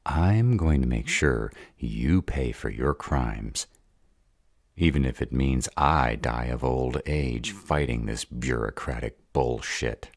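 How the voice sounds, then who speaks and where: A man plays a short-tempered were-dragon with an extremely deep, creaky growl of a voice. He is gruff, sarcastic and protective, grumbling with wide swings in pitch, in an audio roleplay. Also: animated, takes it slowly, no room sound, clean studio sound, exaggerated voice. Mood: grumbling, weary. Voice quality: deep, gravelly.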